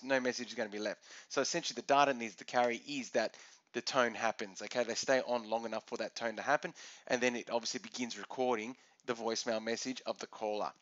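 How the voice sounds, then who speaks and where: A man's voice: mid-range voice, a bit bright, dull audio. A man teaching in an explanatory screencast, going step by step and often punctuating with "okay". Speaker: teacher presenting a tutorial. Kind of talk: explanatory screencast lesson, semi-scripted.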